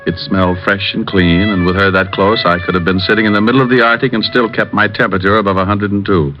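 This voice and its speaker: Actor as a wry private eye, muffled radio. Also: male voice, fairly low pitch, fairly even intonation, fast talker, lightly acted. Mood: wry narrating. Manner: wry, dry, hardboiled. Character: wisecracking, cool male private detective narrating his case. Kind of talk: 1940s-50s radio drama narration and acting. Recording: dull vintage radio recording, faint music.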